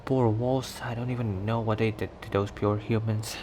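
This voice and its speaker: A man playing a cocky, protective young werewolf stranger in an intimate ASMR roleplay, talking in a teasing, halting conversational way. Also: fairly low pitch, fairly even intonation, background noise, natural voice. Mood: cautioning, earnest. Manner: teasing, breathy sighs and chuckles, halting conversational delivery. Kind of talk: ASMR roleplay acting, intimate one-sided dialogue.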